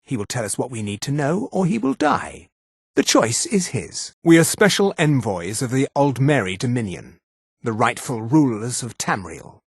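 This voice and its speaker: An actor playing an arrogant, imperious male high elf in a fantasy video game, with a condescending, clipped manner. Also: big pitch swings, moderate pace, creaky, husky, no echo, studio quality, full character voice. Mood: arrogant, menacing.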